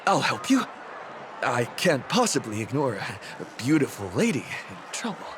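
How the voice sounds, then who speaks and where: An eager, gallant young man with a very lively, up-and-down voice, as a man voices him in a game. Clear ambient noise fills the game scene behind him. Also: medium pitch, normal speed, natural voice. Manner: chivalrous, eager. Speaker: game character.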